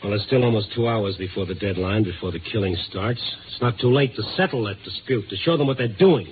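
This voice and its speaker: A man's voice: lowish voice, fast talker, noisy background, close to an everyday voice. A man playing a wry, tough newspaper reporter in a 1950s radio noir drama, with a clipped, sardonic delivery. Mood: defiant. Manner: sardonic, hard-boiled, clipped. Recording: narrow-band vintage radio broadcast.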